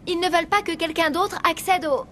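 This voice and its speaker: A woman's voice: very high-pitched, fairly even intonation, a little fast, bright, forceful tone, slight background noise. A woman playing an anxious, earnest young female research assistant in a video game. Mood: anxious, explaining. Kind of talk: French video game dub.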